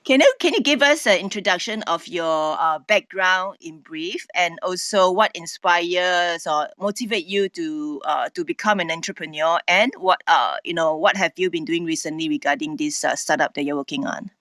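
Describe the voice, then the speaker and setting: A woman hosting an interview show, reading the intro and asking halting questions. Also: on the low side, fairly bright tone, dry recording. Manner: reads intro, then asks halting questions. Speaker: host. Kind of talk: interview host.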